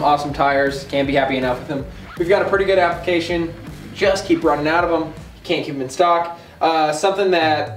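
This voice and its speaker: A man in his twenties giving a casual, slangy, enthusiastic product tour to camera inside a shop. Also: on the high side. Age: 20s. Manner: laid-back, slangy, enthusiastic. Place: indoors in a bike shop. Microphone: camera a few feet away. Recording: roomy echo, distant mic, music behind.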